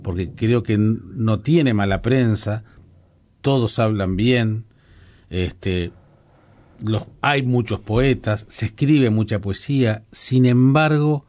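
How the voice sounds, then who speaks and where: A man's voice: on the low side, takes it slowly, darkish tone. A man hosting an interview, asking long, meandering questions. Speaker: host. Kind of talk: interview conversation.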